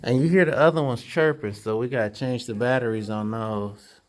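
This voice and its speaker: A man talking casually and informally with a colleague during an indoor walkthrough, thinking aloud and making quick decisions. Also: mid-range voice. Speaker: property manager doing a walkthrough inspection. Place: indoors, rooms of an empty house under inspection.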